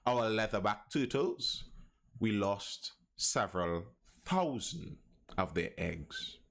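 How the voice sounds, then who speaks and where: A man's voice: fairly low pitch, very animated, slow pace, creaky, fairly bright tone. A Trinidadian man hosting a live stream, chatting loosely and reading out viewer comments with many restarts. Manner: chatty, digressive, restarts sentences. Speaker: live show host.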